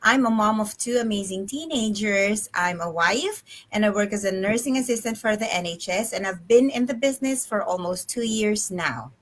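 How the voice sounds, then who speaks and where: A woman's voice: mid-range voice, normal speed, few pauses. A woman from the Philippines speaking on a live online panel, friendly and polite with some fillers. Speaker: guest panelist. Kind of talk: live stream panel answer.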